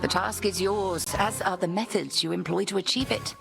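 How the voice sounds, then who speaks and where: A woman voicing a stern, commanding headmistress witch in a game, speaking in clipped orders with a gravelly voice, over game music. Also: medium pitch, moderate pace, natural voice. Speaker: headmistress and mentor. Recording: game dialogue with music behind.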